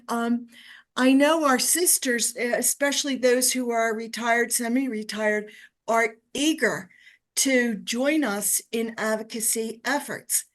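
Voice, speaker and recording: A woman, a religious sister, giving an informal explanatory talk to an online meeting, often halting and repeating words. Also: on the high side.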